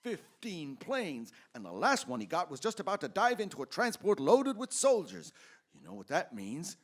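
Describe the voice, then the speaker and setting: A man's voice: high voice, huge pitch swings, normal speed, exaggerated voice. A man playing an earnest, self-doubting small-town husband in an old-time radio play, emphatic and prone to stammering. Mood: proud, excited.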